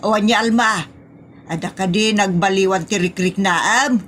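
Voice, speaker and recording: A woman's voice: mid-range voice, moderate pace, a bit bright, close to an everyday voice. A woman playing a fussy, superstitious, scolding mother in a comic audio drama, with an Ilocano accent and fretful exclamations, over faint noise. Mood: anxious, excited.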